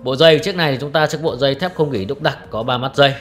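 A young man reviewing a watch in brisk salesman patter, addressing viewers as friends. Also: mid-range voice, fairly bright tone, soft background music. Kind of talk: product review with close-up narration.

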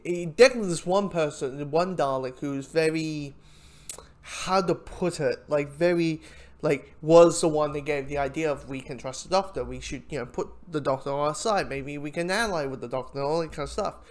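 Rambling man giving an informal review. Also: on the high side. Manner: rambling, halting, often trailing into asides. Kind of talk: informal audio drama review commentary.

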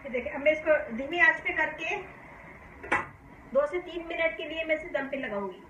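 High-voiced woman demonstrating a recipe. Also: normal speed, dull audio. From Pakistan. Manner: calm, homely explaining, often saying "dekhiye ji". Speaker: cooking channel host. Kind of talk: step-by-step cooking demonstration. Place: home kitchen, indoors. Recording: echoey kitchen with faint hum.

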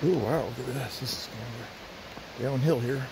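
A man's voice: mid-range voice, normal speed, vocal fry, background noise. A middle-aged man with a sniffly voice, chatting easygoing to his phone camera as he hikes a forest trail. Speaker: hiker vlogger. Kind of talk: casual rambling hike narration.

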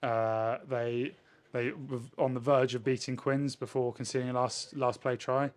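A man, a rugby player answering questions in a post-match interview in a measured way. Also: medium pitch, little pitch movement. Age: 20s. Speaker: professional rugby player, winger. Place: indoors in front of a sponsor backdrop. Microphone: handheld interview microphone held at chest height.

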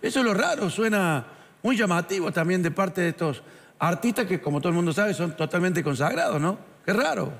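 A man's voice: high voice, expressive, a little fast, gravelly, a bit bright. A man with an Argentine accent co-hosting a TV studio panel, asking probing, incredulous questions. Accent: Argentine Spanish. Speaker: TV show co-host. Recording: reverberant studio sound.

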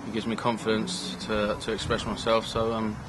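A man's voice: fairly low pitch, completely flat delivery, normal speed, noisy background. A young man, a footballer answering hesitantly with many ums in an outdoor post-match interview. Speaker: striker.

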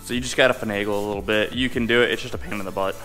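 A young man with a fairly low voice hosts a casual how-to vlog from a garage. He explains things in an informal, folksy way, talking to a nearby camera. Music plays behind his voice. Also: fairly even intonation, rapid-fire, sharp tone, rough recording. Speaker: host of a car modification vlog. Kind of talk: casual hands-on tutorial to camera. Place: home garage, partly inside a vehicle.

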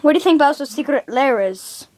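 A woman's voice: high-pitched, animated, brisk, darkish tone. A woman playing a bossy, sarcastic, easily exasperated video game hero in an improvised amateur skit. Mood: musing, sarcastic.

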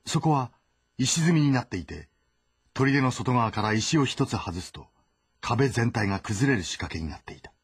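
A man's voice: mid-range voice, expressive, moderate pace, breathy edge, dry recording, clean studio sound. A man narrating a historical game story in a dramatic, scripted style.

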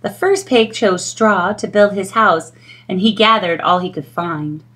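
A middle-aged woman reading a children's storybook aloud in an expressive storytelling style. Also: mid-range voice, measured pace.

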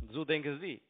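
A man's voice: medium pitch, heavy background noise. Phone caller, male, foreign accent, non-native and broken grammar, mid-range voice, insistent and repetitive, thin telephone sound. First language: not German. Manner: broken German, repeats phrases insistently. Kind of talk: argumentative phone conversation. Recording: tinny narrowband phone line.

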